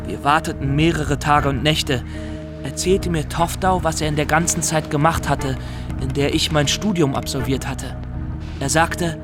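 A man's voice: fairly high pitch, fairly even intonation, normal speed, over music, close to an everyday voice. An actor playing an earnest young Somali graduate turned pirate, telling his story formally and directly to the listener in a radio play. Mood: solemn, earnest.

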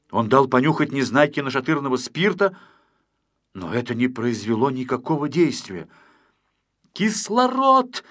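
Guy reading a kids audiobook, medium pitch, expressive storytelling. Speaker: audiobook narrator. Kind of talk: expressive scripted children's story narration.

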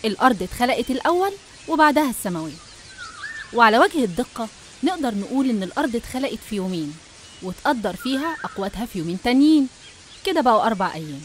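A woman's voice: on the high side, a little hiss or hum. A woman with an Egyptian Arabic accent narrating religious stories in an explanatory, educational way.

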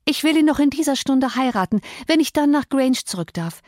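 A desperate young woman, as a woman reader acts her out in an audiobook, raising her voice high as she pleads earnestly. Her delivery is urgent, and the recording is dry and close. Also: animated, fairly quick, darkish tone, dry recording. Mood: pleading. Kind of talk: audiobook character voice.

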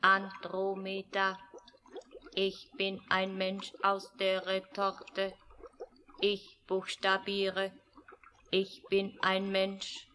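A woman's voice: mid-range voice, completely flat delivery, extremely slow, bright, forceful tone, a little hiss or hum. An actress playing a newly created artificial young woman in a science-fiction radio play, reciting short statements like a naive machine. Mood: mechanical, blank.

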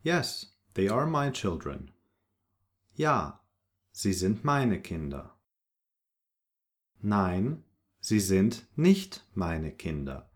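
A man's voice: sits low, very animated, extremely slow, long pauses. A man teaching a language lesson from a script, reading short example sentences and their translations in an instructional way.

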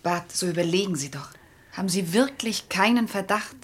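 Husky, pleading, compassionate woman. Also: medium pitch, expressive, moderate pace. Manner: earnest, pleading. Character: compassionate, determined woman pleading with accused friend. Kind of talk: vintage German radio crime drama acting.

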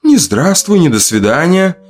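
A man voicing a bitter, menacing, jilted woman who taunts and reproaches slowly in a dramatic audio story. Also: on the high side, sing-song, soft background music, pitched above the performer's own voice. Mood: sardonic.

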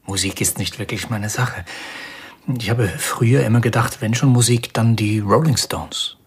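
Radio crime drama: a dry, dogged detective, heavily husky, on the low side, deadpan. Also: male voice, moderate pace. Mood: laconic. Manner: laconic, deadpan. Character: Cheng, dry, dogged male private detective. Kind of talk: radio play dialogue.

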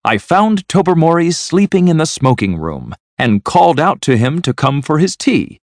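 A man voicing an astonished gentleman host, excited and incredulous, in a storybook reading. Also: mid-range voice, slow, creaky, no echo, pitched above the performer's own voice. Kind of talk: storybook character voice.

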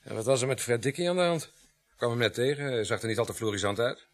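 A man's voice: mid-range voice, lively intonation, fast, no room sound, close to an everyday voice. An actor playing a roguish, easygoing village policeman in a radio play, with a droll, tongue-in-cheek manner. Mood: droll, laid-back.

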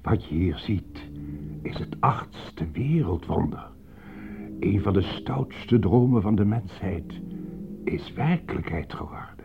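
A man's voice: low-pitched, lively intonation, slow pace, vocal fry, whispered, full character voice. A man playing a grandiose, self-satisfied mad scientist in a radio drama, lecturing proudly about his life's work. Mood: proud, exalted. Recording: narrowband radio play recording with loud music.

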